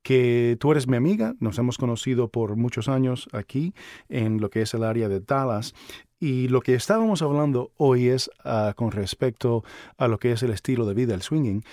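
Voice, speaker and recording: A man's voice: medium pitch, mellow, no room sound, studio quality. A man hosting a podcast, chatting casually and informally with familiar, joking ease. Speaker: podcast host. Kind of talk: casual conversational podcast talk and interviewing.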